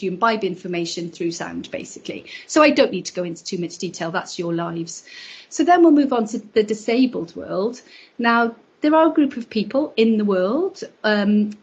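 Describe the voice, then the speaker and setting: A woman with a slightly husky, breathy voice gives a live spoken interpretation of an academic lecture. Her pitch sits in an ordinary middle range, and she talks in a measured, explanatory way with short pauses and small restarts. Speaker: sign language interpreter voicing a deaf lecturer.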